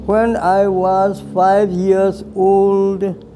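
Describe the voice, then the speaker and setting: A man in his nineties from Burma, slowly telling his life story with hesitant pauses and repeated phrases. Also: high voice, monotone, dark tone, slight background noise, low-quality recording. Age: 90s. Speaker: veteran recounting his life. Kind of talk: slow personal storytelling.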